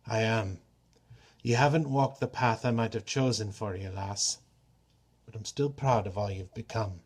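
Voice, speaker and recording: Man reading as a gentle, weary old father. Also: fairly low pitch, unhurried, slightly rough. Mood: proud. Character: weary old father, humble, loyal and tender. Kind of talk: storybook character reading.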